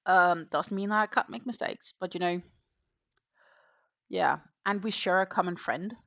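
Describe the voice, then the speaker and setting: Lowish-voiced woman chatting while crocheting. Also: a little slow, gravelly, a bit bright, no echo, lo-fi sound. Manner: chatty, rambling, with frequent ums and half-muttered stitch counting. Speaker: crochet livestream host. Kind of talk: casual livestream chat while crocheting.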